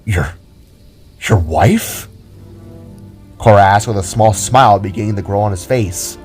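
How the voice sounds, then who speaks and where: A man's voice: fairly low pitch, steady tone, fairly quick, a little mellow, faint music behind. A man reading scripted, dramatic story narration as the narrator of an audio drama.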